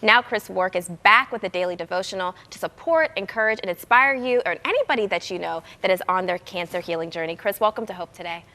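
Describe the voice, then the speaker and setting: A woman's voice: medium pitch, quick pace, few pauses, bright, forceful tone, in a large hall. A woman hosting a broadcast interview, introducing and questioning a guest as a television presenter. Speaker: TV show host.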